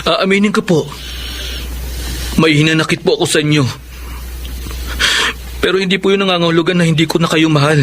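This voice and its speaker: An actor with a Filipino accent playing a hurt but loving elder son in a radio drama, speaking earnestly, tenderly and respectfully. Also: male voice, fairly high pitch, fairly even intonation, a little fast, pauses often, fairly bright tone, slight background noise, lightly acted. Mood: sorrowful, sincere. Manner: earnest, tender, polite address to parents.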